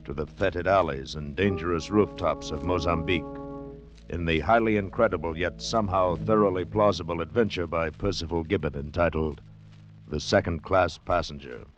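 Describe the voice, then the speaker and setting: Vintage radio announcer, man, grave and deliberate drama, lowish pitch, dull archive sound. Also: music in the background. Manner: grave, portentous. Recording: muffled 1940s radio recording.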